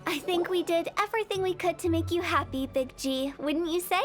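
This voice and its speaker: A woman's voice: very high-pitched, fairly quick, soft background music. A woman playing a playful, cheeky young funeral parlor director in an anime game, with a teasing, theatrical storytelling manner. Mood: mischievous warning.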